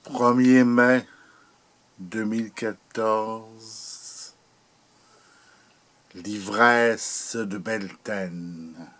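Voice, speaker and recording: A man reciting his own poem in a solemn, declamatory manner, in short phrases with long pauses. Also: on the low side, fairly even intonation, fairly bright tone. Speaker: poet reading own poem. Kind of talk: dramatic poetry recitation over music. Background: music.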